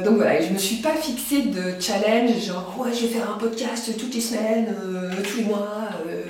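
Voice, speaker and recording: A woman's voice: mid-range voice, darkish tone, far from the mic, a little hiss or hum. A young woman from France with a cold, chatting casually and hesitantly about her knitting and sewing, with many fillers. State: has a cold. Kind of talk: casual chat. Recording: very echoey room, voice from afar.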